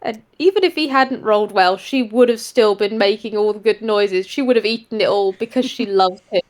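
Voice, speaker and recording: A woman improvising present-tense narration as a player in a role-play story, gushing and expressive. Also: mid-range voice, a little fast.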